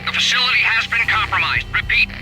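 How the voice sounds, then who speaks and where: A clipped, official mercenary speaks in military radio procedure. The voice is high for a man and comes through a walkie-talkie, with clear music behind it. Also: quick pace, poor audio. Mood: urgent, official.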